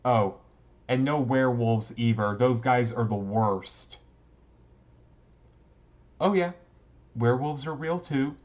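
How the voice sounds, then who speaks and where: A man playing a shy, young-looking goth vampire classmate in a romantic roleplay, speaking hesitantly and casually to the listener with gentle teasing. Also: mid-range voice, slow, pauses often, lo-fi sound, lightly acted. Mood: playful, fond. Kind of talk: romantic roleplay audio drama, talking to listener.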